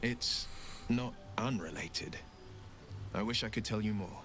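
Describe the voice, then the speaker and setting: An actor playing a proud, wronged warlock adventurer, earnest and noble, with a heartfelt dramatic delivery. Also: male voice, fairly low pitch, a little slow, a bit bright, soft background music. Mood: earnest, resolute.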